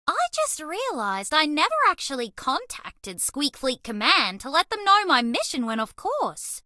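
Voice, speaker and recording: Very squeaky, bubbly alien mouse girl. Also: sing-song, unhurried, sharp tone, no echo, clean studio sound, full character voice. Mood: smitten, cheery. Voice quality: squeaky, girlish.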